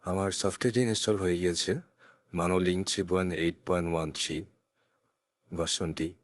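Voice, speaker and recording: A man narrating a step-by-step software tutorial in a careful, instructional way, recorded on a close USB condenser mic. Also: deep voice, fairly even intonation, a little fast, no echo. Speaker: tech tutorial presenter. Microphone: close USB condenser microphone.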